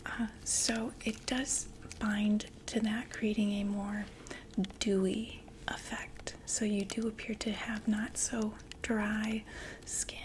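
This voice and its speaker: A young woman playing a female vampire door-to-door makeup saleswoman in an ASMR roleplay, whispering slow, gentle, chatty sales talk. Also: medium pitch, little pitch movement, slight background noise, close to an everyday voice. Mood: soft, soothing.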